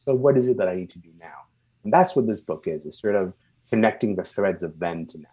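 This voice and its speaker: A man from Sudan who moved to Canada young and is not a native English speaker, speaking reflectively and haltingly as an interview guest, searching for words. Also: low-pitched, animated, warm tone, breathy edge. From born and raised in Sudan, moved to Canada at twelve. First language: non-English first language.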